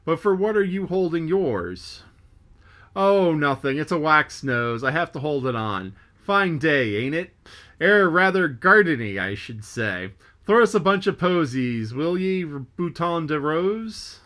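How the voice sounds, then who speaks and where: A man reading an audiobook, voicing a jovial, joking male whaling mate who hails and teases loudly. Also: on the high side, lively intonation, unhurried, pitched above the performer's own voice. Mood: teasing. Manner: bantering, teasing, hailing loudly.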